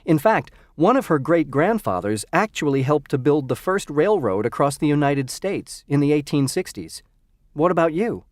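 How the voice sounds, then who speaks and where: An actor playing a friendly young male university student in a scripted textbook dialogue, speaking clearly. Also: fairly high pitch, normal speed, no room sound, studio quality. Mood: chatty. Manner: lively, clearly enunciated. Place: recording studio.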